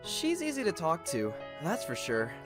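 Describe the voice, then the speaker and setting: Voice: huge pitch swings, normal speed, over music. A performer playing a friendly, sincere young boy hero in an anime-style game fan dub. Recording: faint rumbling noise behind.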